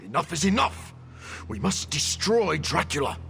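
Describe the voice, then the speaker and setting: A man voicing a grim, solemn vampire doctor in a video game, speaking gravely and dramatically. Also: on the high side, very animated, moderate pace, pushed and bright, faint music behind, full character voice. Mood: fierce, resolute. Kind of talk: video game cutscene acting.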